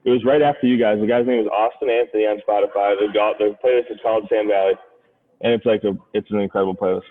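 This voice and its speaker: A man's voice: fairly low pitch, fairly even intonation, fast. A man in casual, slangy, rambling podcast chat as a guest. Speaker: podcast guest, former golf caddie. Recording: phone-like, thin band-limited sound.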